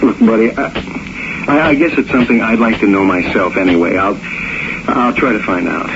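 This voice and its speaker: A man's voice: lowish voice, a little fast, creaky, background noise. An actor playing a smitten, uneasy young man who narrates his story in a 1970s radio drama. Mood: guarded. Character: young male American lover, smitten, uneasy, reflective. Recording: dull radio recording with loud music.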